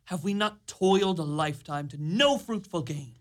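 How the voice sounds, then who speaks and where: A woman's voice: lowish voice, normal speed, lightly acted. An actress playing Jack, a bold, wily young boy thief in a fairy-tale radio play, with florid archaic diction. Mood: defiant. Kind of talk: theatrical radio play acting.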